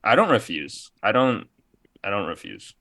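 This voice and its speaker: Bright-voiced, low-pitched man debating. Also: big pitch swings, moderate pace. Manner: argumentative, casual swearing. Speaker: podcast panelist. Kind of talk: casual opinionated discussion.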